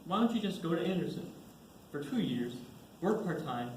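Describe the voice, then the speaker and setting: Young man as brash high-pitched teen friend. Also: lively intonation, normal speed, a little mellow, close to an everyday voice. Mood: incredulous. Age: young adult. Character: mocking, brash teenage male friend. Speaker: student actor in a one-act school play. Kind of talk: amateur stage acting. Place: indoor stage, recorded from the audience. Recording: echoey stage recording with faint noise.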